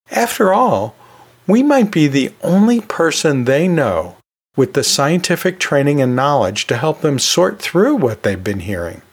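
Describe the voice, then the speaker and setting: A man hosting an educational podcast, giving advice as a teacher in measured, deliberate phrases with emphatic pauses. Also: medium pitch. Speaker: podcast host and anatomy and physiology teacher.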